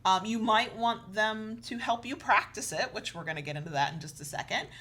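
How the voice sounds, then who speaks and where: A woman's voice: mid-range voice, fairly quick, fairly bright tone. A woman co-hosting an educational show, giving conversational advice in an explanatory way with emphasis on key points. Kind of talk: conversational advice talk with a co-host.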